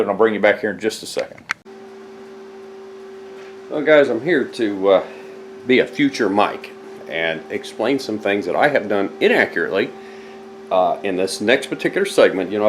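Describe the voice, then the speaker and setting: A man's voice: fairly low pitch, slight background noise, rough recording. Rambling man in a home garage. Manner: rambling, self-correcting, talks while hunting through boxes. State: stuffed up from a head cold. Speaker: home mechanic video host. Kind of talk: casual garage vlog.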